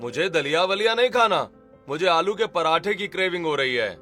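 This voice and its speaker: A man's voice: sits high, expressive, normal speed, pushed and bright, soft background music. A man playing a demanding, food-craving male elder of an Indian household in a story drama. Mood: insistent. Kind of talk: Hindi audio story dialogue acting.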